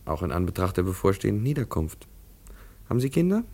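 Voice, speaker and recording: A man acting a dismissive bureaucratic official in a 1950s German radio drama, with a gravelly, dark voice. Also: low-pitched, very animated, fast, heavily acted. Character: dismissive, slow bureaucratic official turning away petitioner.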